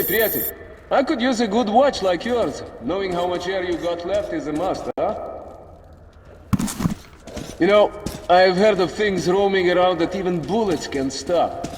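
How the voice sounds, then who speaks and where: A gruff Russian-accented wasteland comrade for a video game, pitched high for a man. He is slangy, profane and excitable. The voice rings in a long, hall-like reverb. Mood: friendly warning. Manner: slangy, swearing, urgent. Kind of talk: video game character acting. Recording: echoey hall, music or noise behind.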